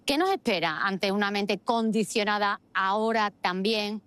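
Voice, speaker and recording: A woman's voice: medium pitch, unhurried, fairly bright tone, no room sound. A woman giving opinionated political commentary as a host, arguing emphatically with rhetorical questions and repeating phrases for stress. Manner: argumentative, uses rhetorical questions.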